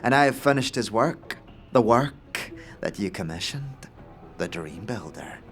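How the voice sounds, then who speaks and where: A man voicing a wild-eyed, eccentric, middle-aged inventor in a fantasy audio drama, speaking grandly and cryptically with theatrical pauses. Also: lowish voice, expressive, soft background music. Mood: grand, expectant.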